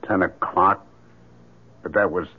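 A man's voice: low-pitched, fairly even intonation, measured pace, slightly rough. Paranoid, accusing man, tinny old radio. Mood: tense, wary. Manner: accusing, intense. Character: paranoid, jealous man on the edge, suspecting betrayal. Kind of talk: old radio drama acting. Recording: tinny band-limited old radio recording.

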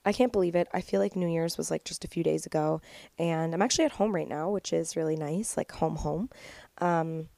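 A woman's voice: sits low, a little fast, creaky, warm tone, no echo. A young woman in her twenties hosting a casual solo podcast, stuffed up and nasal with a cold, chatty and upbeat with lots of "like". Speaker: podcast host.

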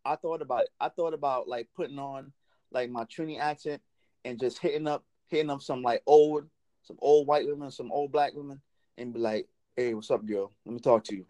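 A man in casual podcast chat, joking and animated. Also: mid-range voice, a little fast. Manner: animated joking, laughs loudly.

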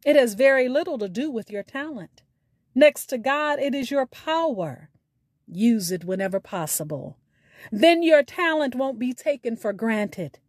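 A woman hosting a podcast, reading a scripted sign-off with deliberate, emphatic enunciation over music. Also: a little high, lively intonation, dry recording, studio quality. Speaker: podcast host. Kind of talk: scripted podcast outro with spoken poetry.

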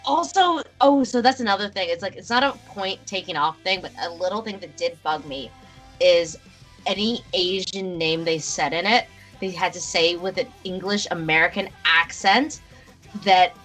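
Woman cohost, chatty, says like a lot, bright sharp voice, faint music. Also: fairly low pitch, moderate pace. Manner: chatty, lots of "like" fillers. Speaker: podcast co-host. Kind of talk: casual chat.

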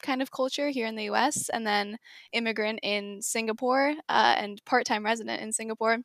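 A woman's voice: a little high, fairly even intonation, fairly quick, fairly bright tone, dry recording. A sixteen-year-old girl from Seattle, answering interview questions casually and eagerly, with frequent fillers. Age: teens. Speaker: guest, nonprofit founder.

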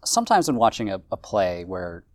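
A man interviewing actors, asking questions in a halting way with repeated words. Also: sits low, big pitch swings, no room sound, studio quality.

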